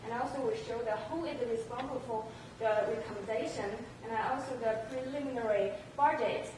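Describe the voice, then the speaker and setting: A young woman from mainland China with a Chinese accent, giving a halting student presentation. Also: a little high. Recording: very echoey noisy room, distant mic.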